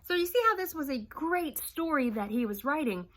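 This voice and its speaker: A woman's voice: high voice, lively intonation, normal speed, a bit bright. A woman teacher reading a picture book aloud to young children, stopping to ask the class questions. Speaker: first grade teacher.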